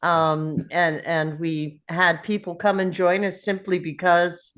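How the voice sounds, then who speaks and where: A woman's voice: fairly low pitch, flat delivery, slow, a bit bright, muffled recording. A woman chatting casually on a group video call.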